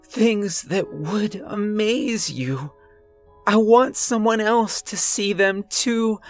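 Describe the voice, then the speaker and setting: A performer voicing a deranged, desperate and violent man in a dramatic horror story, agitated and hoarse. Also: slow pace, faint music behind. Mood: fervent, pleading. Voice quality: hoarse shouting. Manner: agitated, booming, pleading.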